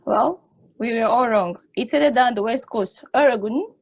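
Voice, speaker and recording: A woman's voice: fairly high pitch, moderate pace, lo-fi sound. A woman playing a wry, bossy space probe in a stage play table read. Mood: wry, casual.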